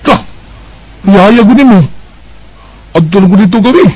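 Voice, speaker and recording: A man's voice: sits high, animated, normal speed, dry recording, low-quality recording. A man with a Bisaya accent playing a weary, plain-spoken young labourer in an amateur radio drama. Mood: hopeful.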